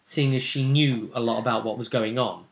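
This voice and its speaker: A man's voice: mid-range voice, steady tone, moderate pace, gravelly. A man acting as game master, casually recapping the story of a tabletop role-playing game.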